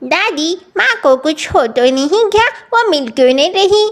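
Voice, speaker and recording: A woman's voice: very high-pitched, lively intonation, a little slow, voice pushed up, heavily acted. A woman voicing an earnest, anxious young village boy with childlike, plaintive delivery in a moral story cartoon. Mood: worried.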